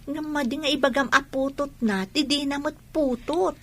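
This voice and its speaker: An actress with an Ilocano Filipino accent playing a concerned, questioning young student friend in a radio drama. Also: female voice, high-pitched, moderate pace, fairly bright tone.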